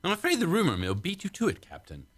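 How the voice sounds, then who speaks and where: Man acting bright, very animated ship's doctor. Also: high voice, fast, full character voice. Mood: easygoing. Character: supportive, good-natured male ship's doctor. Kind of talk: science fiction radio play acting.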